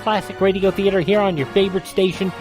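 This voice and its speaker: A man's voice: sits high, fairly even intonation, fast. A man hosting a classic radio show, scripted but conversational, with folksy asides. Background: music.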